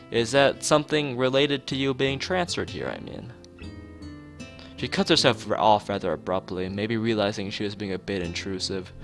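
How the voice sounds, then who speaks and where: A man's voice: lowish voice, gravelly, a bit bright. A man live streaming, mixing joking, mock-formal commentary with reading story text aloud from a game. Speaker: streamer playing a visual novel.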